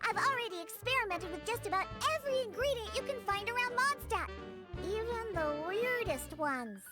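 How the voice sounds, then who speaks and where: Small grumpy girl bartender for a game: very high, huffy, sarcastic, music playing behind. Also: lively intonation, moderate pace. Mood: explaining. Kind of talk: video game voice acting.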